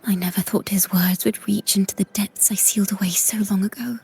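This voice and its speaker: An actress playing a brooding, resolute young heroine in a video game, speaking with serious intensity. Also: female voice, mid-range voice, steady tone, fairly quick, gravelly, darkish tone, breathy edge, faint music behind. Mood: hushed, pained. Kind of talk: dramatic game voice acting.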